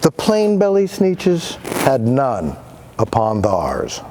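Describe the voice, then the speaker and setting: A man's voice: mid-range voice, slow pace, noisy background. A male teacher giving a dramatic read-aloud of a picture book to students. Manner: animated, dramatic reading voice.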